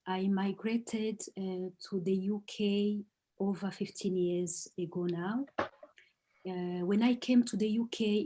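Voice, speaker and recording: A middle-aged woman from the Democratic Republic of Congo, introducing herself as a guest speaker at an online talk in a measured way, with frequent hesitations. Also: mid-range voice, dark tone. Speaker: guest speaker, singer-songwriter.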